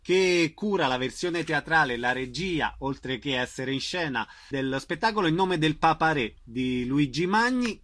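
A man's voice: mid-range voice, fairly bright tone. A man hosting a conversational radio interview, putting questions to guests.

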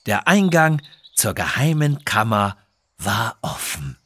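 A man's voice: on the low side, big pitch swings, slow pace, fairly bright tone, slightly rough, no room sound, heavily acted. A man voicing a greedy, boastful villainous lord who is pompous and menacing in a children's adventure story. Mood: gloating.

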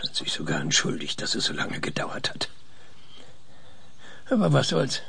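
An actor in a radio drama playing a proud, opinionated old scientist who speaks pompously and searches for words. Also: male voice, medium pitch, huge pitch swings, normal speed, creaky, heavy background noise, exaggerated voice. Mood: dry, eager. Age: older.

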